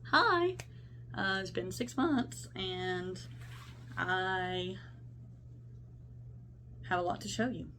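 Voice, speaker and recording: A woman's voice: on the low side, drawn-out words, pauses often, a bit bright, a little hiss or hum. A young woman talking casually about her cross-stitch projects, rambling and self-interrupting, her voice congested from a sinus infection. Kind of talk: casual show-and-tell vlog.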